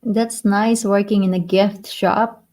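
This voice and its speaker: Slow-paced Filipino woman chatting on stream. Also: mid-range voice, fairly even intonation, a little mellow. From Philippines. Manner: halting, repeats phrases in simple second-language English. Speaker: live stream host. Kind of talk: casual live stream chat.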